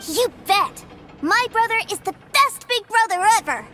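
A woman voicing a cheerful, eager little boy in a game, chatty and excited. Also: very high-pitched, normal speed, very noisy. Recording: game audio over music.

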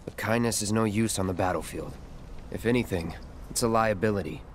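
A man's voice: fairly low pitch, fairly quick, a little hiss or hum, lightly acted. An actor playing an aloof, cold young mercenary swordsman in a video game, terse and detached. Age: young adult. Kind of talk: dramatic video game acting.

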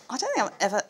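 A woman historian presenting, chatting and explaining as she works in a farm cottage kitchen, laughing now and then. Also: slight background noise. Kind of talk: casual demonstration to camera.

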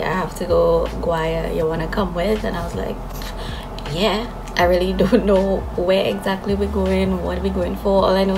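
A woman's voice: fairly low pitch, creaky, background noise. A young woman with a Trinidadian accent, chatting casually to her phone camera in an upbeat vlog, laughing easily. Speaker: vlogger. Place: bedroom, then inside a car. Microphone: phone camera held close.

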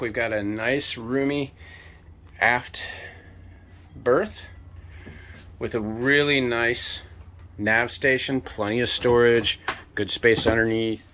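A man in his fifties hosting a casual walkthrough tour of a sailboat, talking in a relaxed, enthusiastic way with lots of fillers, recorded on a handheld camera inside a small cabin. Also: medium pitch. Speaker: boat tour host. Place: small boat cabin, indoors. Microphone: handheld camera mic at arm's length.

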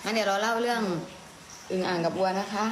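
A woman in a mother's role, telling simple stories to a small child in a casual, coaxing way through gentle questions. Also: mid-range voice, steady tone, fast talker. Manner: coaxing, question-led, with polite particles. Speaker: mother prompting her young child. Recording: echoey room with clear background noise.

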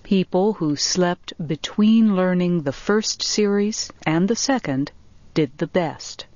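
Woman, scripted educational narration, somewhat low pitch, measured enunciation, dry recording. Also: darkish tone, no echo. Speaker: reader of English learning material. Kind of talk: slow scripted narration for English learners. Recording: dull, lo-fi compressed audio.

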